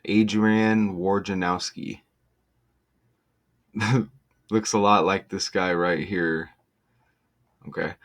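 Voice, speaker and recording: A man presenting an online video in a casual, off-the-cuff way, halting and emphatic, often checking in with the listener. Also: deep voice, steady tone, a bit bright. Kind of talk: casual off-the-cuff explainer with numerology.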